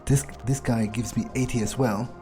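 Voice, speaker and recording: A man's voice: medium pitch, fairly even intonation, moderate pace, mellow, faint music behind. A man playing a video game in character, muttering his thoughts aloud in a sardonic, foul-mouthed way.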